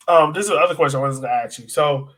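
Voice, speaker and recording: A man's voice: fairly high pitch, fast, a bit bright. A man hosting a casual sports podcast, informal and conversational, often posing questions to his co-host.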